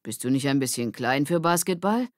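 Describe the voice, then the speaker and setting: A woman's voice: rumbling low, animated, a little fast, dry recording, clean studio sound. A woman voicing a proud, showy schoolboy athlete in a children's audiobook. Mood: challenging.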